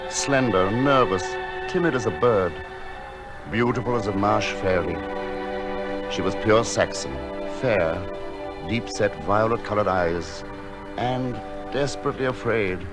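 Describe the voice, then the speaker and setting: An actor playing a gentle, misshapen recluse painter, telling his story in formal, poetic, earnest language. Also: lowish voice, a little slow, lightly acted. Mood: grave, reflective. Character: solitary, misshapen painter living in a lighthouse, gentle and wistful. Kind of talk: dramatic narration in an audio drama. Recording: music behind the voice.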